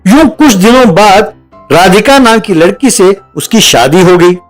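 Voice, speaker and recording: Male narrator for a children's cartoon tale: high-pitched, scripted storytelling over faint music. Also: animated, measured pace.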